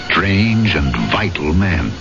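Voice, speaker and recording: Courteous low-voiced magistrate, lively intonation. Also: male voice. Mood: reflective narration. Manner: formal, polite, measured. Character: courteous, earnest colonial police magistrate telling his story. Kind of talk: old-time radio drama acting and narration. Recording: dull old radio with music behind.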